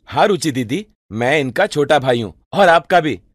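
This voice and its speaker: A man's voice: a little high, animated, moderate pace, no echo, studio quality. A man playing a helpful young student brother, eager and polite, in storybook cartoon dialogue. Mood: eager, friendly.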